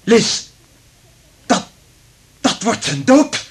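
An actor playing a conscientious, commanding lab scientist with urgent delivery in a radio drama. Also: male voice, high-pitched, big pitch swings, very slow, breathy edge, heavily acted. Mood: alarmed. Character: urgent, conscientious male laboratory scientist taking charge.

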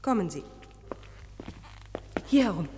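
A woman playing a brisk, protective hospital nurse in a German radio crime play, scolding and authoritative. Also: mid-range voice, big pitch swings, a little mellow. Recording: reverberant vintage radio play, faint noise.

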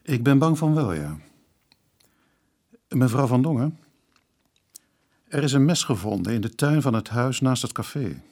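Police inspector, Dutch accent, husky, mid-pitched with varied intonation, measured, formal, sympathetic, radio play. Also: male voice, expressive, darkish tone. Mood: grave, formal. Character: sympathetic but dutiful male police inspector. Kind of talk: naturalistic radio drama acting.

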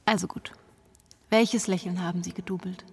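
Eine sachliche, gefasste Psychologin. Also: female voice, fairly low pitch, steady tone, moderate pace, slightly rough. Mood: composed, probing. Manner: matter-of-fact, probing. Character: composed, clinical female psychologist. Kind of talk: radio drama dialogue.

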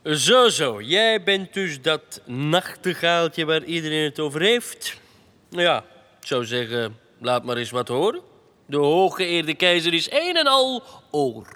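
An actor playing a vain, childish, pompous emperor with a Flemish accent in a comic children's radio drama. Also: male voice, a little high, very animated, unhurried, bright, forceful tone, exaggerated voice. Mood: pompous, dithering. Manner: pompous, dithering, theatrical.

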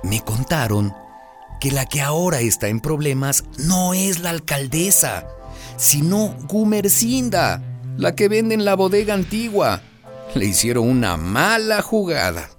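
A man's voice: fairly high pitch, very animated, measured pace. A man playing a gossipy, watchful neighbourhood narrator in a radio drama, confiding and theatrical. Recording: music behind the voice.

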